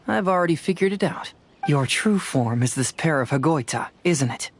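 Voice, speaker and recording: A man playing a composed young detective in a video game, explaining things in a measured way. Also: a little high, expressive, a little hiss or hum. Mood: confident, explanatory. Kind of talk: video game character dialogue.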